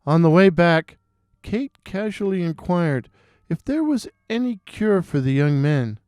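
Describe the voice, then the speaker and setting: Slow, smooth-voiced man telling a folktale. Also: high voice, lively intonation, a little mellow, no room sound, studio quality. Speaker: storyteller. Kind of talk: dramatic scripted narration of a folktale.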